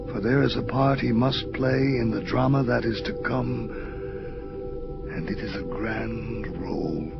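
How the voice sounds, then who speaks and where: A man playing an ancient, paternal and inscrutable God in an audio drama, with a gravelly voice and gentle, condescending delivery. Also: mid-range voice. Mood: brooding, knowing. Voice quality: creaky, gravelly. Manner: slow, gentle, deliberate, condescending. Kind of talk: dramatic audio play dialogue. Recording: dull lo-fi audio under music. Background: prominent atmospheric music.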